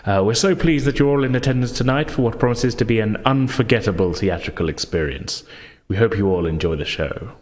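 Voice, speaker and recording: A man's voice: on the low side, fairly quick, few pauses, a little mellow, long reverb tail, lightly acted. Man playing a smiling, formal theatre director. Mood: welcoming, formal. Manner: formal, courteous stage announcer. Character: smiling male theatre director welcoming an opening-night audience.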